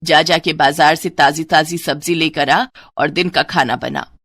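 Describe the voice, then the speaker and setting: A woman voicing a bossy, taunting middle-aged mother-in-law in a moral-story cartoon, with a sharp, nagging manner. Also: low voice, fairly even intonation, unhurried, no room sound, clean studio sound, pitched below the performer's own voice, full character voice. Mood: scolding.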